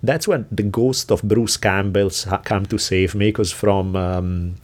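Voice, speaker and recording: A man's voice: lowish voice, steady tone, measured pace. Italian-accented man telling a story. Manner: laughs often while telling a story. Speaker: podcast host. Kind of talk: casual storytelling chat.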